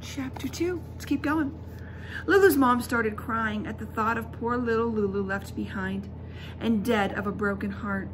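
A middle-aged woman reading a children's book aloud as a teacher, expressive, emphatic and instructive. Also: fairly high pitch, a little slow, poor audio. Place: indoors in a school classroom. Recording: classroom recording with background noise.

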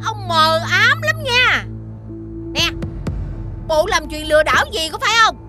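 Shrill-high, bossy rice merchant. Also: female voice, expressive, a little slow, over music. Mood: suspicious. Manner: haughty, interrogating. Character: bossy, suspicious, sharp-tongued female rice merchant. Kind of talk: animated fairy tale voice acting.

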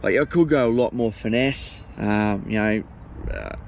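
A middle-aged Australian man giving relaxed, chatty fishing commentary by a lake, filmed on a head-mounted action camera. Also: fairly low pitch, gravelly. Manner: relaxed, chatty, unhurried with fillers. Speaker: fishing vlogger. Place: outdoors by a lake shore. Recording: outdoor noise, wind and water.

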